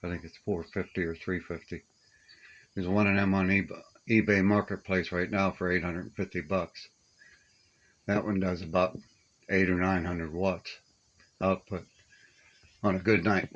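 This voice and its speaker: A man's voice: sits low, little pitch movement, measured pace, long pauses, a bit bright, muffled recording. An older man chatting casually and reminiscing in a plainspoken, folksy way, with homespun grammar. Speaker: radio hobbyist showing his collection. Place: small upstairs room being stripped to studs.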